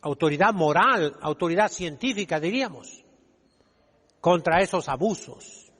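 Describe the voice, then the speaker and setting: High-voiced man preaching, long hall reverb. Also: expressive, unhurried, fairly bright tone. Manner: emphatic, didactic, rhetorical questions with calls to repeat. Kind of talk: sermon.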